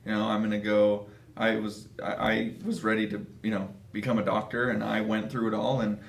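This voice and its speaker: A man's voice: lowish voice, little pitch movement, brisk, gravelly. A man in his twenties talking casually and emphatically as an interview guest, in an echoing conference room. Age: 20s. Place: small office conference room. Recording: roomy, echoing office.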